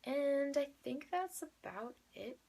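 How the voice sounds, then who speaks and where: A woman's voice: sits high, lively intonation, slow, vocal fry, warm tone. A woman vlogger telling a personal story in a casual, chatty, rambling conversational way.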